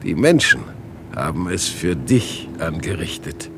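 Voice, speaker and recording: An actor playing an anguished, bitter father in a radio drama, his creaky voice questioning and accusing. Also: low voice, sing-song, a little slow, noisy background. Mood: weary, bitter. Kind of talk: dramatic radio play acting.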